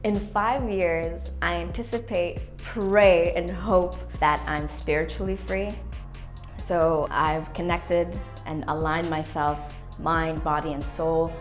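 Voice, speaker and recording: A woman's voice: on the low side, steady tone, takes it slowly, soft background music. A woman in her twenties from Canada, talking in a documentary interview in an earnest, reflective, motivational way. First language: English. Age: 20s. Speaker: playwright and youth worker.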